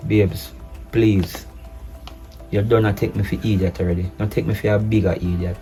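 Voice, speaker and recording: A man playing a hurt, betrayed husband, speaking low and measured, then forcefully. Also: steady tone, soft background music, close to an everyday voice. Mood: accusing. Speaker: husband in a domestic drama skit. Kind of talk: improvised-sounding skit acting.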